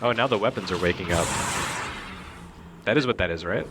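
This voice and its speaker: A man's voice: low-pitched, fairly quick, noisy background. A man giving casual, joking, rambling commentary on video game cutscenes in a sardonic way. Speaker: reviewer and co-host.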